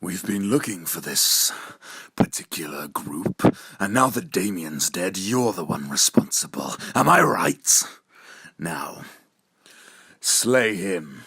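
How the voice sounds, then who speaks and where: A man's voice: sits low, big pitch swings, takes it slowly, gravelly, bright, forceful tone, slightly rough, dry recording, full character voice. An actor playing a stern, commanding middle-aged father who leads monster slayers in a drama. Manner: commanding, solemn.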